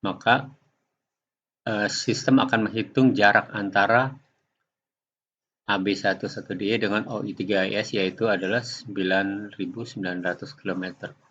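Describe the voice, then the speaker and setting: Low-pitched man, dull lo-fi sound. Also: fairly even intonation, fairly bright tone. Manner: step-by-step, halting explanation. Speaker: software tutorial presenter. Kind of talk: unscripted screen-recording tutorial. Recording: dull lo-fi home recording.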